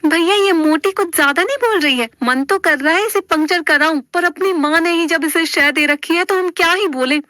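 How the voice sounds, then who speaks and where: A woman's voice: very high-pitched, normal speed, hardly any pauses, bright, forceful tone, dry recording, studio quality, pitched above the performer's own voice. A woman voicing a cheeky, indignant young sister who complains snarkily in a family story.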